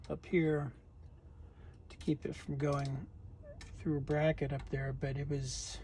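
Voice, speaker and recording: A man narrating his radio repair in a cold home workshop, thinking aloud in a relaxed way and murmuring as he works. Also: on the high side, takes it slowly. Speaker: hobbyist radio restorer. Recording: noisy workshop ambience. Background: steady background noise.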